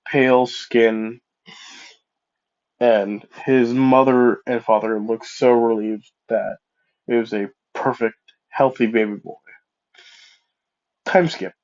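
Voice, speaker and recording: A man with a stuffed-up cold narrates a fan story slowly, wandering off into asides and correcting himself as he goes. His voice sits at an ordinary pitch. It comes through a dull, lo-fi mic. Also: steady tone, a little mellow. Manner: rambling, self-correcting, casual asides. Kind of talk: improvised fan-fiction storytelling.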